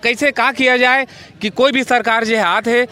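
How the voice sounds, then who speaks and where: A man's voice: very high-pitched, fairly even intonation, normal speed, pushed and bright, a faint crowd. A man with a Chhattisgarhi accent speaking as a social activist, emphatic and impassioned, repeating phrases for weight. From Chhattisgarh, India. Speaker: social activist, NGO worker. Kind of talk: impassioned interview answers.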